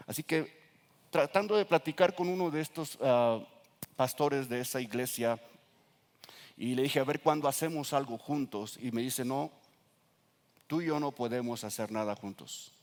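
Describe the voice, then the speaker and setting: A man with a Mexican accent, a pastor preaching earnestly to his congregation and reading scripture slowly with emphasis. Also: mid-range voice. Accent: Mexican Spanish. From Nayarit coast, Mexico. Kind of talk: sermon. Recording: reverberant church hall.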